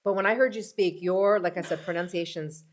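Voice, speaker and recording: American woman in her fifties, chatty guest, animated, slightly lower pitch. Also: brisk. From United States. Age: 50s. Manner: animated, laughs often, acts out quoted voices. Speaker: mental health practitioner. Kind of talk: casual interview chat.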